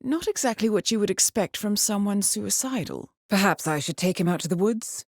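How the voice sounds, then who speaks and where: An actress playing a poised, dryly amused intelligence handler who briefs an assassin in a video game, measured and formal. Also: female voice, mid-range voice, lively intonation, dry recording, studio quality. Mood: dryly wry. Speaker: mission handler.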